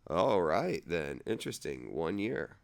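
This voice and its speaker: A man reading legal text aloud haltingly, rereading and correcting himself, with irreverent, offhand commentary of his own. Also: deep voice, sing-song, vocal fry. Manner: irreverent, sarcastic asides. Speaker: amateur reader of a legal document.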